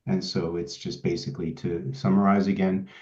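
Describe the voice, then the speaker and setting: A man's voice: sits low, flat delivery, mellow. Middle-aged Swedish man presenting in a roomy space. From Sweden. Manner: measured, explanatory, with frequent restarts. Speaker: pharma quality consultant presenting a demo. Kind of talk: webinar presentation. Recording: roomy, slightly dull audio.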